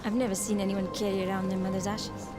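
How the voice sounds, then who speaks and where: A woman's voice: medium pitch, flat delivery, fast talker, loud music behind the voice, close to an everyday voice. An actress playing a solemn young woman of a rebel movement in a video game cutscene, somber and serious.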